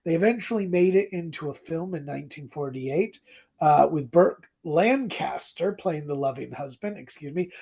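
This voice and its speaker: A podcast co-host chatting casually and comedically about old radio drama, with a theatrical, mock-dramatic manner and a husky voice. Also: medium pitch, expressive, measured pace, a little mellow.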